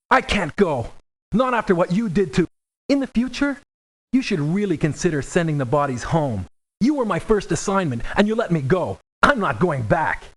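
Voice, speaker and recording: A woman playing an anxious, earnest rookie mercenary in a video game, pleading and hesitant in manner. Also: lowish voice. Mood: hurt, reproachful. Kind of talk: video game character lines.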